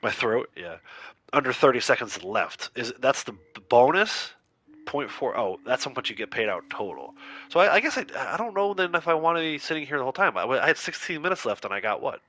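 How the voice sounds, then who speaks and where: A man's voice: mid-range voice, very animated, fairly quick, sharp tone. A man streaming a video game, chatting casually with his viewers while congested and a bit nasal from a cold. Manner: chatty, reads out and answers viewer chat, laughs easily. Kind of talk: casual livestream chat while playing.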